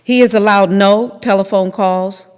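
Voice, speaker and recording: A woman's voice: medium pitch, steady tone, unhurried, a little mellow, clear, resonant tone. A middle-aged woman giving a dramatic reading of testimony at a lectern. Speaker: reader in a readers theater. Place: large temple sanctuary, at a lectern. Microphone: podium microphone on a boom stand. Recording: long hall reverb.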